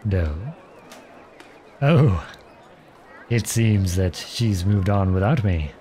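A man playing a charming, gentle, teasing outlawed prince in an ASMR roleplay, courtly, playful and tender in his way of talking. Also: low voice, expressive, very slow, a faint crowd, slight background noise, exaggerated voice. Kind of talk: ASMR roleplay acting addressed to the listener.

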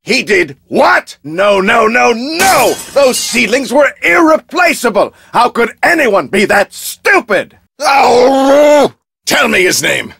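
An actor playing an arrogant, irritable research scientist in a video game, with pompous, formal and brusque speech. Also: male voice, extremely high pitch, big pitch swings, slow pace, vocal fry, pushed and bright, slightly rough, dry recording, faint music behind, exaggerated voice. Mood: outraged, frantic. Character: irritable, arrogant, self-important male research scientist with explosive temper.

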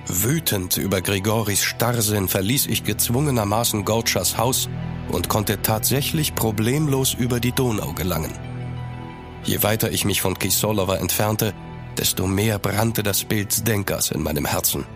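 A man acting an ardent, courteous gentleman traveller in a German gothic audio drama, earnest and measured. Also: lowish voice, music in the background, close to an everyday voice. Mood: grave, narrating.

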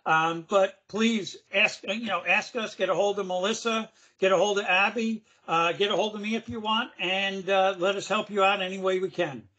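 Male webinar presenter on a video call, slightly hoarse, loose and chatty with fillers, high bright voice. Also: fairly even intonation, normal speed, few pauses, highs cut off. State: losing his voice, hoarse. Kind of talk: casual screen-share demo.

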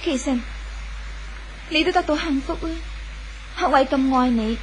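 A woman playing a gentle, devoted sweetheart in a 1970s radio drama, earnest and conciliatory, with a Hong Kong Cantonese accent. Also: high voice, measured pace. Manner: soft-hearted, pleading, earnest. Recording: noisy old radio drama recording.

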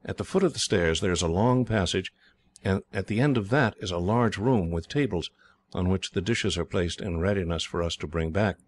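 A man voicing a frightened young English girl who was raised as a slave, speaking timidly and haltingly. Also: deep voice, no room sound.

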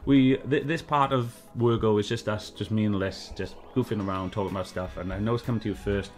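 A man's voice: lowish voice, steady tone, brisk, darkish tone, soft background music. A middle-aged man with a Welsh accent, hosting a casual review chat from his living room sofa, rambling and repeating himself with lots of fillers. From Wales. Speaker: host.

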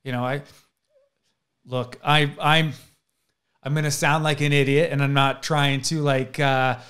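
A man's voice: mid-range voice, little pitch movement, a little slow, a bit bright. A man in his thirties hosting a casual interview from a decorated room, enthusiastic and self-deprecating, restarting his sentences often. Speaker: interview host. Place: indoor studio room with posters. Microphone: desk podcast mic, seen.

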